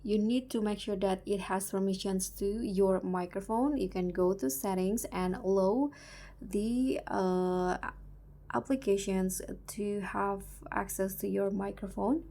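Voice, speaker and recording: Unhurried woman with creaky voice, tutorial host. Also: mid-range voice, fairly even intonation, warm tone. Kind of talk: step-by-step app tutorial narration.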